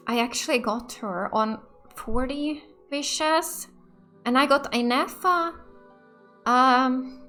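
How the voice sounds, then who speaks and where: A woman giving casual, excitable live commentary as a gaming host, often repeating short exclamations. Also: high-pitched. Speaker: gaming let's play host. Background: game music.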